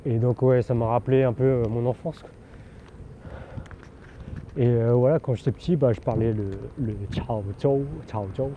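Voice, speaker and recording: A man's voice: mid-range voice, fairly even intonation, moderate pace, long pauses. A man born in France, talking candidly while riding a bike outdoors, rambling with many fillers and restarts. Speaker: vlogger. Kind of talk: casual spoken monologue. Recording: dull outdoor recording with background noise.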